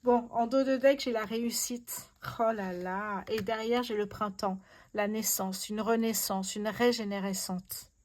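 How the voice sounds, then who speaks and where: A woman gives a tarot reading to her viewers in a casual, personal style. Her delivery is effusive and full of wonder, often repeating her amazement. Her voice sits at a middle pitch for a woman. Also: steady tone, measured pace, a little mellow. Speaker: host, tarot card reader.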